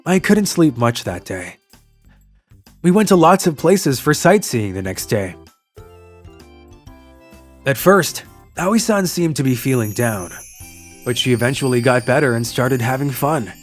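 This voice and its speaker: A man's voice: mid-range voice, very animated, measured pace, long pauses, faint music behind. Earnest, kind young man, lovelorn male voice actor. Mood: reflective. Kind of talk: English manga video dub with first-person narration.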